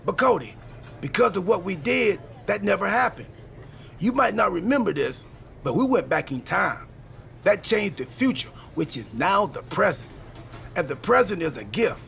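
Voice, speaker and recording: A man's voice: high-pitched, very animated, moderate pace, vocal fry, fairly bright tone, a little hiss or hum, heavily acted. A man playing a chatty, quirky, earnest friend in video game cutscene dialogue. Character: chatty, quirky, earnest male friend and time-travel teammate.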